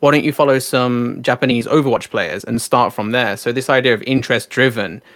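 A man's voice: medium pitch, fairly quick, fairly bright tone, dry recording. A man hosting a podcast interview, speaking casually with fillers and often affirming his guest. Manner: friendly, uses many fillers and affirming backchannels. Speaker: podcast host.

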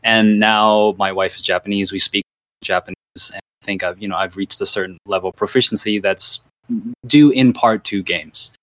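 Rambling man, low voice, dry sound. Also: steady tone, moderate pace, fairly bright tone, no echo, low-quality recording. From Virginia, USA. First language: Thai. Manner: rambling, many fillers and restarts. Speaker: university lecturer and researcher. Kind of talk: casual interview answers.

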